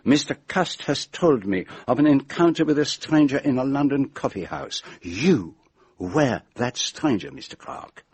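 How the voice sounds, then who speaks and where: An actor playing a shrewd, methodical detective in a radio mystery drama, speaking formally and deliberately as he sets out an accusation. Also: mid-range voice, animated, darkish tone, slightly rough. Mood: accusing, deliberate. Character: shrewd, methodical male detective laying out his case.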